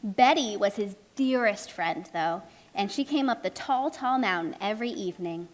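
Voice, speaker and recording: A woman's voice: mid-range voice, moderate pace. A woman narrating a children's puppet show live, expressively, speaking directly to young children. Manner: expressive, addresses young children with simple questions. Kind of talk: children's storytelling to a live audience. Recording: echoey hall, live show.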